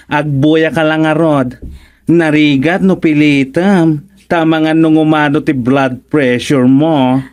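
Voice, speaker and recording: An actress playing a brisk, cheerful fitness dance instructor who bosses village seniors in an Ilocano radio comedy drama. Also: female voice, low-pitched, steady tone, darkish tone. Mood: reassuring. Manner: drawn-out commands and counting.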